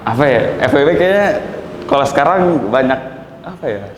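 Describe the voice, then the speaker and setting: A young university student in their early twenties, answering in a hesitant, giggly way. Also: male voice, mid-range voice, animated, fairly quick, a little hiss or hum. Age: 20s. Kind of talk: casual interview answers. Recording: echoey room with long reverb.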